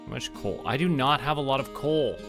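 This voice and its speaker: A man's voice: medium pitch, brisk. A man chatting casually on a gaming livestream, thinking aloud as he plays. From Canada. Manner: musing, talking to himself. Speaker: streamer. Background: clear background music.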